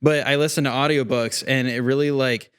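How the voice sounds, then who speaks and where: A man's voice: mid-range voice, steady tone, brisk, a bit bright, clean tone. A man chatting casually as a podcast guest, rambling along with plenty of "you know" and "like". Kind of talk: casual chat.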